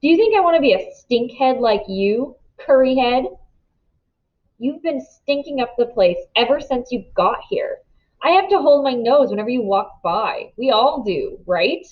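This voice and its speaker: A woman's voice: a little high, lively intonation, moderate pace, natural voice. A mean schoolboy bully from a children's storybook, acted out by a woman reader. He is taunting and sneering, full of mockery. The recording is slightly dull and lo-fi. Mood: mocking.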